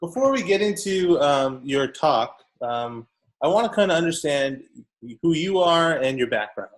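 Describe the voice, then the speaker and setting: Upbeat podcast host, higher male voice. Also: animated, unhurried. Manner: upbeat, casual, frequent fillers. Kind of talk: podcast interview.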